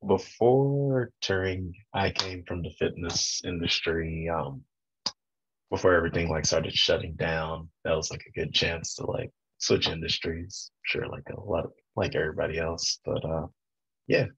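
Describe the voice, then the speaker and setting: A man's voice: low voice, fairly even intonation, measured pace, a bit bright, slightly rough, dry recording. A young man from Colorado giving a brief, laid-back introduction on a video call. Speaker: student introducing himself. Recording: dull lo-fi video call audio.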